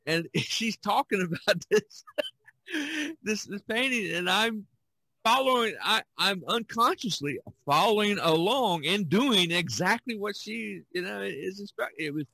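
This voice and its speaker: A man's voice: sits high, expressive, measured pace, vocal fry, bright, forceful tone, breathy edge, dry recording, low-quality recording. A man hosting a podcast, chatting casually in a rambling, conversational way. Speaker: podcast host. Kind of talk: casual chat.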